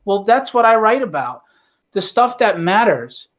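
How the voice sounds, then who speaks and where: A man's voice: high voice, very animated, a little slow, fairly bright tone. A man speaking casually and bluntly as a guest comic writer, earnest and opinionated, with salty language, over an online call. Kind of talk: casual, opinionated podcast talk. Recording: dull, lo-fi online call audio.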